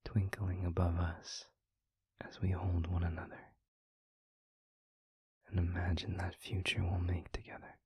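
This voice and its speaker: Guy doing a soft demon boyfriend asmr, super breathy whisper, real close and dry, gentle poetic lover type. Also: low voice, completely flat delivery, no echo, exaggerated voice. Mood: dreamy, tender. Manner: slow, gentle, formal poetic phrasing. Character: tender, anxious male demon lover, eloquent and devoted. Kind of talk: intimate scripted audio roleplay, ASMR. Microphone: very close microphone, dry.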